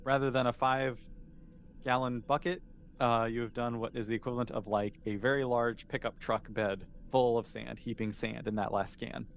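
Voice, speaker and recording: A man's voice: medium pitch, fairly even intonation, normal speed. A man with a mid-range voice runs a tabletop roleplaying session. He narrates conversationally and with amusement, making it up as he goes. Some noise sits behind his voice. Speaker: game master. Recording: noticeable background noise.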